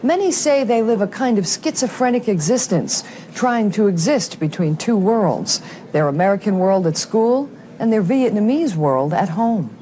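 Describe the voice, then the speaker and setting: A woman's voice: medium pitch, lively intonation, moderate pace, warm tone. A woman working as a television news reporter, interviewing and narrating in a broadcast style.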